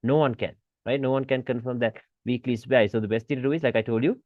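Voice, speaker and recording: A man's voice: medium pitch, steady tone, quick pace, no room sound. A man with a mid-range voice talks through an informal tutorial as an instructor. He restates each point and keeps checking that the learner follows with rhetorical questions. The sound is dry and close, with a slightly dull, lo-fi tone. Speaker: forex trading instructor. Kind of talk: informal screen-share tutorial commentary.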